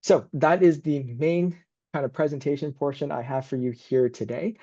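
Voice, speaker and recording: A man's voice: on the high side, fairly even intonation, normal speed, dark tone, dry recording, lo-fi sound. A man working as a university admissions advisor, hosting and presenting an informal online information session.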